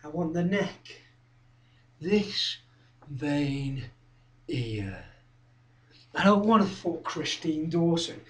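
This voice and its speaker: A man's voice: sits high, animated, slow pace, pauses often, exaggerated voice. An actor performing a theatrical monologue as an obsessive, confrontational young male skinhead who relives his violent past. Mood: menacing, intimate. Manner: obsessive, confrontational, repetitive, swinging from hushed to shouting. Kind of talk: theatrical dramatic monologue, direct address. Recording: roomy echo, distant microphone.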